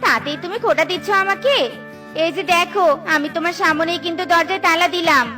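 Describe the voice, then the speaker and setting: A woman's voice: very high voice, brisk, over music. A woman voicing a huffy, indignant bird wife in a cartoon, snapping back. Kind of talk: cartoon voice acting.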